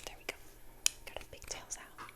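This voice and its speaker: A woman speaks entirely in a soft, unvoiced whisper. She rambles in a casual, gushing ASMR style, over-explaining as she goes. Words and short phrases come back in repeats, used as a deliberate effect. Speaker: ASMR video creator and host.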